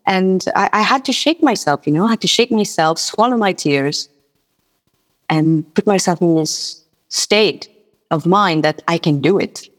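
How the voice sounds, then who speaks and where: Woman from mauritius, french and creole native, lowish voice, guest musician chatting and telling stories, repeats herself, big echoey reverb. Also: animated, unhurried. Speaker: guest musician and singer-songwriter. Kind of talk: conversational personal storytelling. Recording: long reverberant hall sound.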